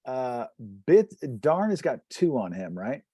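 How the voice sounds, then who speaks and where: A man's voice: mid-range voice, very animated, unhurried, warm tone, slightly rough, no echo, clean studio sound. A man chatting casually as a player in a tabletop game.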